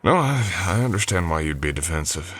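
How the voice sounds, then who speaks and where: A male actor voices a drifter cowboy hired on as a ranch hand in an old west audio roleplay. His voice is low and soft, and he talks straight to the listener in a folksy, courteous way. He comes across as easygoing and a little unsure of his manners. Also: very animated, fairly quick, full character voice. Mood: apologetic. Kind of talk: ASMR roleplay acting, addressing the listener.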